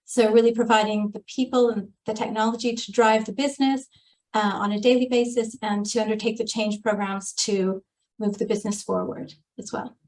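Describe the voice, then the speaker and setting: A woman's voice: on the high side, fairly even intonation, fairly quick, a little mellow, poor audio. A woman, giving a composed, businesslike answer on an online panel. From United States. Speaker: panelist, chief strategy officer. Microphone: video call microphone.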